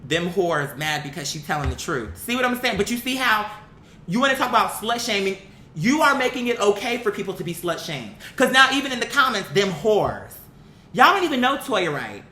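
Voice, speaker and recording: Blunt, indignant woman with a bright, forceful voice. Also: medium pitch, very animated, brisk, a little hiss or hum. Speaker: online commentator reacting to viewer comments. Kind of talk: casual opinionated rant, reading comments aloud. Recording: echoey, roomy sound.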